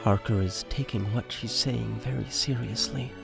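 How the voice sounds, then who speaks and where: A man's voice: mid-range voice, moderate pace, creaky. A man reading scripted stage directions as the narrator of an audio drama. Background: music.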